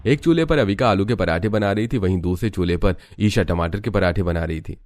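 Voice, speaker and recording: A man's voice: low voice, a little fast, a little mellow, no echo. A man reading scripted narration for a storybook-style family tale.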